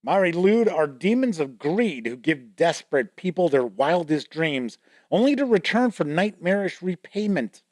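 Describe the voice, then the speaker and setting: A man's voice: a little high, sing-song, moderate pace, a little mellow, no echo. A man hosting a tabletop gaming show, casually flipping through a book, reading entries aloud and commenting, joking about his own mispronunciations. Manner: chatty, reads entries aloud and comments on them. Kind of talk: casual product walkthrough.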